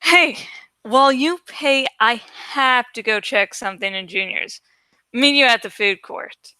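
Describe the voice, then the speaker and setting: A woman's voice: on the high side, measured pace, sharp tone, smooth, no room sound. A woman voicing a brash, boastful teenage girl shopper in an emphatic, crowing manner for a storybook reading. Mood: brisk.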